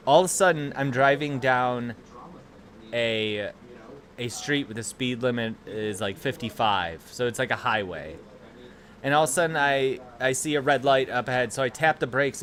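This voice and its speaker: A man's voice: medium pitch, a bit bright. A young man with a Chicago accent, hosting a casual livestream from a small room at home and telling rambling, profane stories to his chat. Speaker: livestream host.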